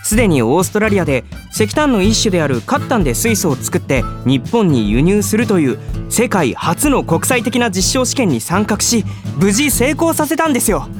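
An actor playing an earnest, polite young office junior in a Japanese radio drama, easily exasperated. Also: male voice, sits high, sing-song, normal speed, hardly any pauses, music in the background. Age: young adult.

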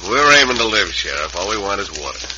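An actor playing a sly, vengeful bank robber with a folksy outlaw manner in an old radio western. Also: male voice, on the low side, big pitch swings, fast talker. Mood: wheedling. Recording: muffled, very noisy old radio recording.

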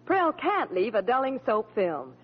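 A woman's voice: fairly high pitch, sing-song, measured pace, slightly rough. A woman announcer reading a scripted 1940s radio advertisement. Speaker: commercial announcer. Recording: dull old radio recording.

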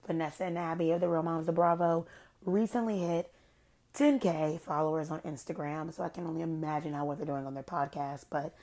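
A young adult woman hosting her own podcast, hoarse from losing her voice, chatting in a rambling, gossipy way. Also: steady tone, fairly quick, warm tone. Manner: chatty, rambling, self-described low-key shady. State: hoarse, has lost her voice. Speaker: podcast host. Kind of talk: casual solo podcast chat.

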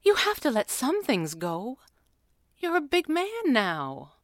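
A woman voicing a middle-aged mother in a story, scolding and defensive, gentle but quick to turn stern. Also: on the low side, wildly swooping pitch, no echo, clean studio sound. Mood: gently scolding.

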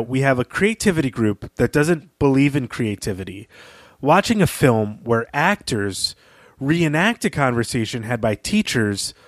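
A man's voice: medium pitch, dry recording. Academic man co-hosting, close dry sound. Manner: academic, discursive. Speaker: podcast co-host. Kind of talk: analytical book discussion.

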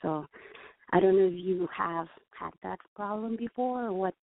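A woman's voice: medium pitch, normal speed, creaky, dry recording. Hesitant Mexican-accented woman on phone. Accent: Mexican Spanish. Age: 30s. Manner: hesitant, full of likes and ums. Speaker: caller. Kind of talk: phoning in for advice. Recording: tinny phone line.

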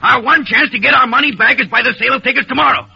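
A man's voice: very high-pitched, racing, vocal fry, bright, forceful tone, husky, full character voice. An actor playing a desperate, threatening American townsman in a 1940s radio drama, speaking with emphatic urgency. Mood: angry, threatening. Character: desperate, threatening townsman pleading for his town's fight. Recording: dull old radio broadcast.

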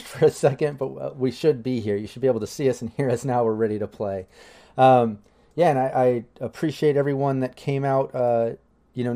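A man hosts a live tabletop game stream in an easygoing, rambling way. His voice is warm and mellow at a middle pitch, and he talks with many fillers. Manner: chatty, loose, many fillers. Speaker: game master and stream host. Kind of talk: casual livestream chat.

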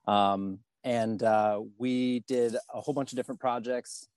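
A man speaking as a podcast guest about his career and fundraising, pausing often to restart his sentences. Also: medium pitch, steady tone, a little mellow, clean tone, no room sound. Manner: halting, many fillers and restarts. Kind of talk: podcast interview.

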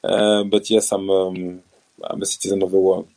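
French-accented man in his thirties, ex-footballer, halting and earnest delivery, lowish mellow tone. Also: level tone. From France. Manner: hesitant, many restarts and fillers, non-native grammar. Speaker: former professional footballer and business founder. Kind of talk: casual interview answers.

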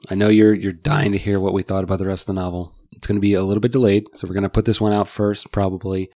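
A man closes out a book podcast, reading thanks and plugs at an even pace. His voice sits very low. The recording is close and dry. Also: dry recording. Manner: steady, reads out thanks and plugs. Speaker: podcast co-host. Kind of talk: podcast outro and sign-off.

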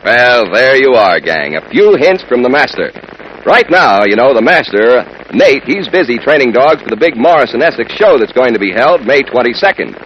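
A man's voice: medium pitch, sing-song, normal speed. A man reading a scripted 1940s radio segment as announcer, in a chummy way aimed at youngsters. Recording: muffled old radio broadcast, faint hiss.

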